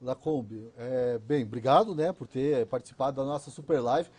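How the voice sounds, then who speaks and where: A man's voice: mid-range voice, normal speed, warm tone, husky. A Brazilian man co-hosting a live show in a casual, chatty style. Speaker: co-host.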